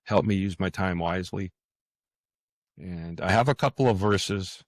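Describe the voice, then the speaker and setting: An older man speaking as an interview guest author, earnest and halting, often stammering and repeating words. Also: low voice, no room sound, studio quality.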